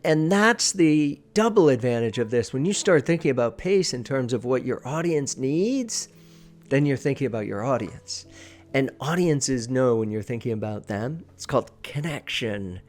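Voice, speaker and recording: A man's voice: medium pitch, expressive, normal speed, a little mellow. A man giving an informal instructional talk as a presentation coach, stressing his points emphatically and repeating key phrases.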